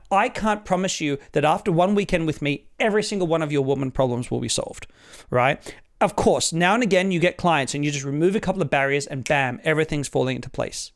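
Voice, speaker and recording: A man with an Australian accent, a dating coach talking straight to camera in an earnest, salesy way, often tagging his points with "right?". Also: a little high. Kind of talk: direct-to-camera promo talk.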